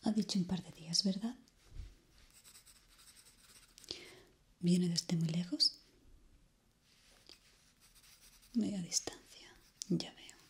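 A young woman from playing a polite, eerie hotel receptionist in an ASMR roleplay, formal and hushed. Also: lowish voice, natural voice. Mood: quietly inquisitive. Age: young adult. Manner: formal, slow, hushed and courteous.

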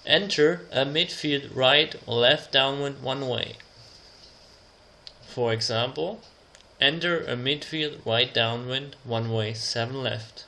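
A man narrating an instructional slide presentation as a tutor, reading out example phrases in a deliberate, measured way. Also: mid-range voice, creaky, bright, forceful tone.

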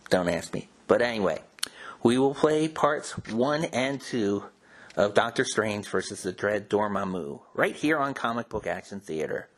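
A man speaks as a host in a fairly low voice. His delivery is informal and conversational, like fan commentary, with a slightly wry touch. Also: expressive, measured pace. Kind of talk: casual scripted-free commentary on comics and old radio.

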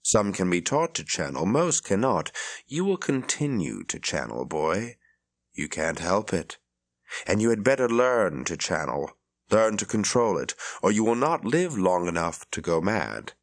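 A man's voice: low-pitched, very animated, unhurried, heavily acted. A man voicing a stern, powerful older woman leader who speaks firmly. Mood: commanding. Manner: firm, commanding.

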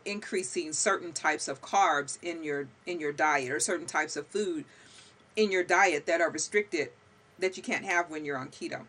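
A woman's voice: on the low side, a bit bright. A middle-aged woman hosting an interview over a video call, talking emphatically with many fillers. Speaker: podcast host. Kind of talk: conversational interview. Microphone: video call webcam.

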